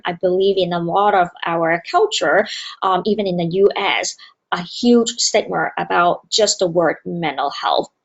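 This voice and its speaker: Woman, dull call audio. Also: fairly low pitch. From Hong Kong. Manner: earnest, many fillers and hesitations. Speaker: university student wellbeing director. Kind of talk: conference presentation. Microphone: remote video call.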